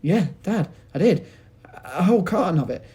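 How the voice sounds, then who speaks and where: A man's voice: high-pitched, animated, moderate pace, dark tone, husky, voice pushed up, full character voice. A man voicing an eager, earnest young boy in a children's storybook, with keen, simple exclamations. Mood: worried, eager.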